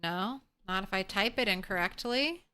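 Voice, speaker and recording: A woman streamer chatting softly and casually with her viewers while playing a game, thanking them as she goes. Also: medium pitch, normal speed, smooth. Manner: soft, relaxed, thanks viewers. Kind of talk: casual live-stream chat while gaming.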